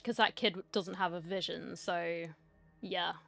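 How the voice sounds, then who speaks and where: A woman's voice: lowish voice, fairly even intonation, unhurried, gravelly, fairly bright tone, soft background music. A woman gaming streamer giving casual, chatty commentary and reacting aloud as she plays.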